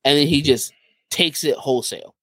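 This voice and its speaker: A man with a slightly high voice talks casually as a podcast co-host. He retells things in an animated way, slipping into quoted dialogue. The recording is very dry and close, without room sound. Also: brisk, slightly rough, no room sound.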